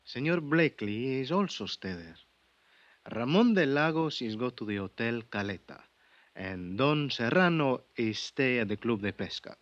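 An actor playing an obliging, amused Mexican investigator in a 1950s radio drama, speaking courteous broken English with a Mexican Spanish accent. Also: male voice, medium pitch, lively intonation, moderate pace, no echo, lightly acted. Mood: sly, relaxed. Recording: muffled vintage radio recording.

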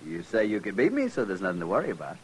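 A man's voice: low-pitched, wildly swooping pitch, rapid-fire, husky, heavily acted. A man in a 1950s radio western playing a cocky, goading Army lieutenant with a mocking swagger. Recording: noisy vintage radio drama recording.

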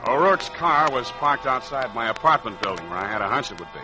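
An actor playing a wry, cool, hard-boiled private detective with slangy delivery, in 1940s radio drama style. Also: male voice, mid-range voice, big pitch swings, a little fast, loud music behind the voice, close to an everyday voice, low-quality recording. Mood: wry narration. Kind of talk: 1940s radio acting, noir narration.

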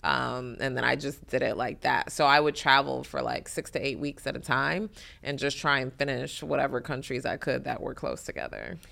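A woman from in her thirties speaks in a low, gravelly register with a sharp, bright edge to her tone. Her is easygoing, and she talks as an interview guest in loose, chatty bursts, laughing often and filling her sentences with "like" and "um.". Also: fairly even intonation, moderate pace. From Detroit, USA. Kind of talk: casual interview chat.

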